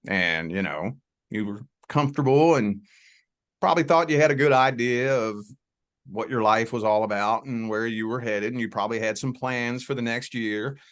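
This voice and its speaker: A man from the Indiana and Kentucky border with a Southern accent, a guest giving a folksy, reassuring tarot reading on a video call. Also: mid-range voice. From Southern Indiana near Louisville, Kentucky. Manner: folksy, reassuring, drawn-out emphasis. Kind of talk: spiritual conversation and tarot reading.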